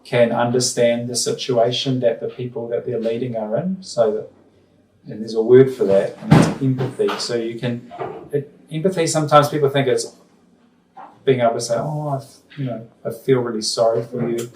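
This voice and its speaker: A man's voice: mid-range voice, fairly even intonation, normal speed, background noise. A middle-aged New Zealand man and school principal, answering interview questions thoughtfully with many ums and restarts. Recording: roomy sound from far off the mic.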